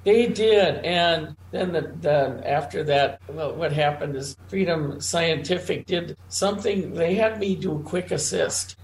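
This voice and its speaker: A woman chatting casually as a caller, rambling in a halting way with repeats and restarts. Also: low voice, darkish tone. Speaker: caller to a computer club meeting. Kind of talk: casual chat. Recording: roomy echo, faint background noise.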